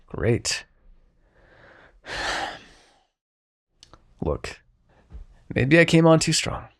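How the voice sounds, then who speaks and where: Casting: male actor for grumbling, sardonic dream god, intimate audio drama; very animated intonation, heavy vocal fry, foul-mouthed. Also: on the high side, drawn-out words, exaggerated voice. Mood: reluctantly conciliatory. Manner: sarcastic, profane, sighing, addresses listener as mortal. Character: exasperated, sarcastic god of dreams, a grumbling Sandman. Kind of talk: intimate scripted roleplay audio drama monologue.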